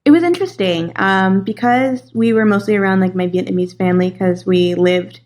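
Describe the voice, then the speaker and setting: A woman's voice: medium pitch, a little fast, a little mellow. A young woman from California chatting as an interview guest, talking loosely with many fillers and frequent laughs. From California, USA. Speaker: casting and voice director, guest. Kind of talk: casual interview chat.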